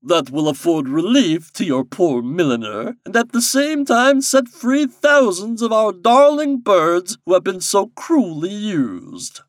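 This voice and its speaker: Fairy king played by a man for a storybook: commanding, wise, stern, high-pitched, very animated. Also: measured pace, hardly any pauses, no room sound, clean studio sound. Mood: instructive, assured.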